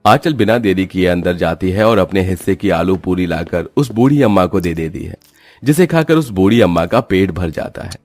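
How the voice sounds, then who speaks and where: A man's voice: low-pitched, lively intonation, normal speed, darkish tone, no room sound. A man giving scripted narration for a cartoon story.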